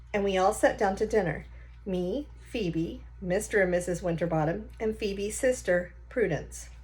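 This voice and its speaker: A woman reading a children's novel aloud like a teacher, with short explanatory asides. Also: mid-range voice.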